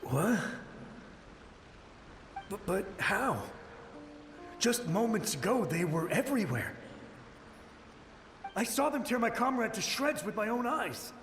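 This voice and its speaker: A shell-shocked soldier's ghost, desperate and devoted to his ruler, in a fairly high voice. His halting pleas ring out in a roomy space with a long reverb tail and faint music behind. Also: sing-song, fairly bright tone, exaggerated voice. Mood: bewildered. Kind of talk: video game dialogue.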